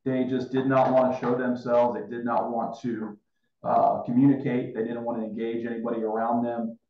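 A man's voice: mid-range voice, flat delivery, moderate pace. Man, thirties, casual interview host, roomy echo, dull video-call sound. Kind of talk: casual chat. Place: indoor room, on a video call.

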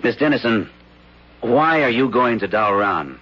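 A man's voice: mid-range voice, expressive, unhurried, bright, forceful tone, slightly rough, heavily acted. An actor playing a stern, matter-of-fact male intelligence agent in a 1970s radio drama. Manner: curt, cool, matter-of-fact. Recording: muffled old radio broadcast.

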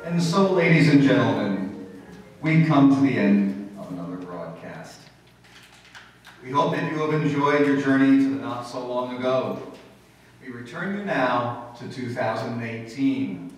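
A man's voice: medium pitch, animated, a little slow. A man announcing formally in old-time radio style from the stage of a hall. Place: stage in a hall before an audience. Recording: distant echoey hall with faint noise.

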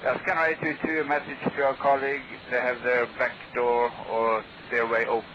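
A man's voice: mid-range voice, level tone, normal speed. A man speaking as an airline pilot, making calls over aviation radio. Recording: muffled, noisy radio.

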